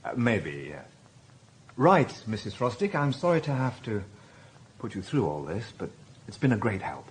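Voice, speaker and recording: An actor playing a methodical, courteous police inspector in a radio drama, measured and polite as he narrates and questions. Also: fairly low pitch, big pitch swings, slight background noise. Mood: gently businesslike. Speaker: narrator and lead detective.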